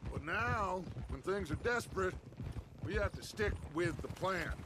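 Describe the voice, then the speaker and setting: An actor playing a charismatic middle-aged American outlaw gang leader, speaking in a persuasive, theatrical, grandiloquent way in a western game. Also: male voice, sits high, lively intonation, measured pace, background noise. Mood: hopeful, commanding. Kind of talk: dramatic western game cutscene acting.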